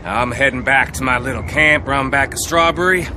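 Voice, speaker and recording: An actor playing a cocky, wheedling young outlaw in a Wild West video game, with an American Western accent and a gravelly voice. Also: male voice, mid-range voice, moderate pace, noisy background, lightly acted. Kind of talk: video game cutscene acting.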